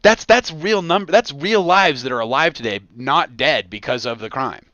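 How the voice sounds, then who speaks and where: An American man hosting a casual comedic podcast, talking in an excitable, profane way with punchy repetition. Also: fairly high pitch, moderate pace, no echo, muffled recording. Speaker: podcast host.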